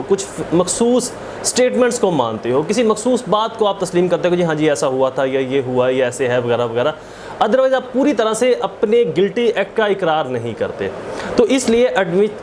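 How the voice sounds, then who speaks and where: Pakistani guy teaching law, pretty high voice, says key points over again, noisy background. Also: darkish tone. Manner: emphatic, repeats and rephrases key points. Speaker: law lecturer. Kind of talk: explanatory lecture with English legal terms.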